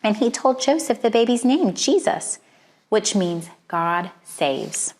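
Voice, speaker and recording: A woman's voice: mid-range voice, animated, takes it slowly, a little mellow. Woman reading a children's storybook expressively. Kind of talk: expressive read-aloud children's storybook narration.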